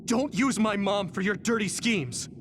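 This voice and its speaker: A man's voice: high voice, a little slow, heavy background noise. An actor playing an earnest, impassioned young male hero in a video game cutscene. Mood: outraged.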